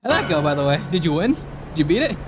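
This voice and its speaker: A male game streamer with a slightly high voice rambles casually to his chat and swears freely. Music plays clearly behind him. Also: very animated, fast, muffled recording. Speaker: video game livestreamer. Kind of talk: casual chat with viewers while playing.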